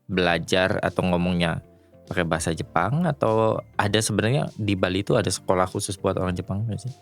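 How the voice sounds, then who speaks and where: Woman podcast host, relaxed curious questions, extremely low voice. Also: fairly quick, gravelly, soft background music. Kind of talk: casual interview chat.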